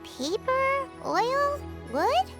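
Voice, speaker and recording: A woman voicing a tiny, excitable, greedy fairy companion with a childlike, exclamatory way of talking, in an anime video game. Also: extremely high pitch, drawn-out words, loud music behind the voice, full character voice. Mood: suspicious, excited. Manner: speaks of self in third person, exclamatory.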